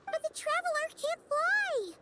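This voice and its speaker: A woman voicing a tiny, childlike, easily alarmed fairy companion in an anime-style video game, with squeaky, exclamatory speech. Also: lively intonation, measured pace, pushed and bright, exaggerated voice. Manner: exclamatory, anxious questioning. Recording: game dialogue over music.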